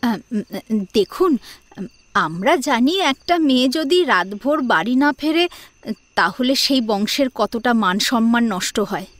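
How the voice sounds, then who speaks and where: A woman acts a courteous middle-aged mother in a family audio drama. Her fairly high voice is measured, formal and a little hesitant, closely recorded with little echo. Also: lively intonation, a bit bright. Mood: polite, measured. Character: polite, composed middle-aged lady, a suitor's mother.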